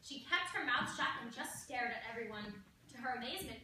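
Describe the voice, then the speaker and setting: Female stage narrator, high and bright, scripted storytelling, recorded at a distance. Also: lively intonation, a little fast. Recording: very echoey room, distant mic, faint noise.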